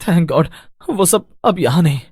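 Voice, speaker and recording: A man acting an anxious, animated lost traveller. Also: on the high side, slow pace, husky, dry recording, clean studio sound, heavily acted. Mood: uneasy. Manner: anxious, hesitant, stammering. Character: frightened, bewildered male traveller lost at night. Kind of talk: Hindi animated horror story acting.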